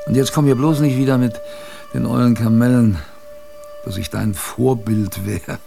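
An actor playing a gruff but fond old sailor grandfather, telling his memories in a salty, colloquial radio-play manner. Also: mid-range voice, steady tone, warm tone, faint music behind, close to an everyday voice. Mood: grumbling, fond. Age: older. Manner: colloquial, salty storytelling with musing pauses. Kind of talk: radio drama first-person narration.

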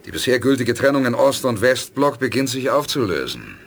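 A man playing a calm, resolute space commander in a 1980s German radio play, firm and earnest in his delivery. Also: medium pitch, fairly quick, vocal fry, husky, exaggerated voice. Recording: noisy 1980s radio drama production.

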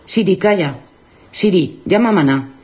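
A woman playing a scatterbrained, excitable young woman from Spain with a Spanish accent, slangy and full of repeated exclamations. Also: fairly low pitch, moderate pace, lightly acted. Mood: dismayed. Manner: breathless exclamations, slangy repetition.

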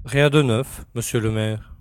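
An actor playing a dutiful, easily flustered village constable, deferential and plaintive. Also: male voice, medium pitch, steady tone, unhurried, dry recording, studio quality, natural voice. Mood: obedient. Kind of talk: French audio drama acting.

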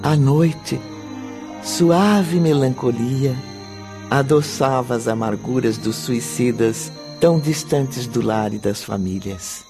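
A man's voice: medium pitch, slow. A man narrating a radio drama from a script in a solemn, poetic delivery. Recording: prominent music under the voice.

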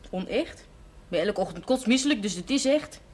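A woman's voice: medium pitch, animated, brisk, darkish tone, close to an everyday voice. A woman playing a brash, cheerful young pregnant woman in a television period drama, slangy and unashamed. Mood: defiant, frank. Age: young adult. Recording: noisy old television soundtrack.

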